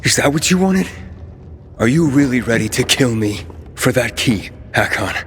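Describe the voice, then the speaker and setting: A man's voice: medium pitch, lively intonation, moderate pace, a bit bright, slight background noise, close to an everyday voice. A male actor playing an earnest young hero in a dramatic video game, intense and accusing as he confronts a betrayer. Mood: wary, disbelieving. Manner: accusing, intense, pressing with questions. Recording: long hall reverb, music behind.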